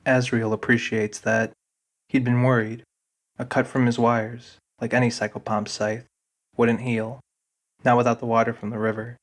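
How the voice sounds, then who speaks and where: A man giving a scripted, deliberate narration of a fantasy audio drama. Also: medium pitch, little pitch movement.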